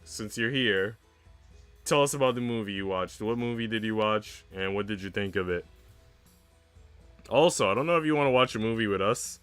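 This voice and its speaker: Laid-back young man, lowish voice. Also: moderate pace, long pauses, fairly bright tone, clear, resonant tone, no room sound. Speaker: streamer. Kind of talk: casual livestream chat. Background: music.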